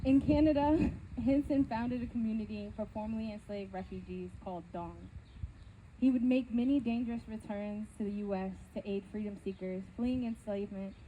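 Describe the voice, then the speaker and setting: A woman's voice: mid-range voice, narrow, tinny audio, slight background noise. A woman presenter formally reading a biographical introduction, with stumbles and self-corrections. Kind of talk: read-aloud biographical introduction.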